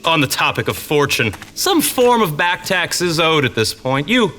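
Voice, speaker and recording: A man's voice: fairly high pitch, sing-song, normal speed, bright, forceful tone, faint music behind. A man playing a smug, menacing bandit boss with mocking courtesy in a fantasy audio drama. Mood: mocking menace. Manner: mocking, theatrically courteous, chuckling.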